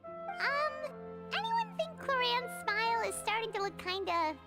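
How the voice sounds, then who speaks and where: A woman voicing a small, nervous, childlike fairy companion in an anime video game, squeaky and chirpy. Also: sing-song, unhurried, loud music behind the voice, exaggerated voice. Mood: wary, questioning. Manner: squeaky, speaks of herself in third person.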